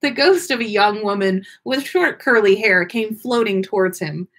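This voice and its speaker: A woman podcast host reading stories aloud in an animated, playful, expressive way, with casual chat. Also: medium pitch, normal speed.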